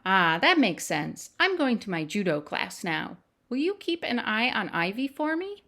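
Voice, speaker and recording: A woman's voice: mid-range voice, very animated, a little slow, sharp tone, heavily acted. Woman voicing an earnest, lilting storybook child. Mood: earnest, curious. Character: earnest, polite young child of a picture book.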